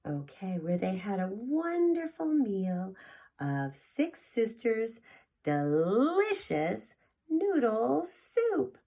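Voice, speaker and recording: Casting: female preschool teacher, theatrical picture-book reader, slow and highly animated. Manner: theatrical, with gasps and asides to young listeners. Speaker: preschool teacher reading aloud. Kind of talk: expressive children's picture-book read-aloud.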